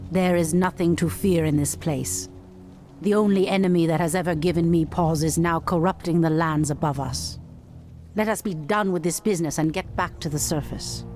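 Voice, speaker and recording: Lowish female elf leader played by an actress, proud n firm, formal fantasy game talk over music. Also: fairly even intonation, moderate pace, close to an everyday voice. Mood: resolute. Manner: formal, earnest, firm dramatic delivery. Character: proud, resolute night elf warrior priestess. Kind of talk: dramatic fantasy game acting. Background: loud music.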